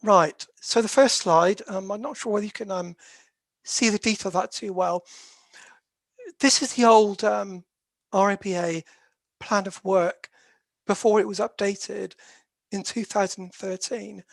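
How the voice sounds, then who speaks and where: A croaky, gravelly presenter lecturing in a steady, explanatory way, pausing often on "um" between phrases. The voice sits at a middling pitch and sounds close and dry, with no room around it. It comes across as a dispute resolution specialist walking through a webinar. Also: no room sound. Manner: hesitant, with very frequent "um" fillers.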